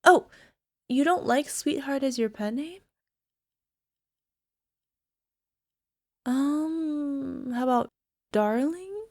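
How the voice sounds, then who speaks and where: Casting: actress for a young female alchemist, sweet obsessive girlfriend type; high, unhurried, hesitant and giggly, for ASMR roleplay audio. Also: expressive, darkish tone, heavily acted. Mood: lovestruck, clingy. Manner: giggly, hesitant, sighing, slipping into whispers.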